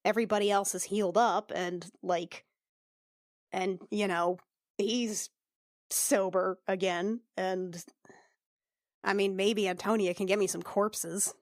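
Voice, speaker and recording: A woman's voice: medium pitch, fairly even intonation, slow pace, long pauses, no echo, studio quality, lightly acted. A woman playing a self-doubting, plain-spoken young princess and healer in a tabletop game, casual, hesitant and wry. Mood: frustrated.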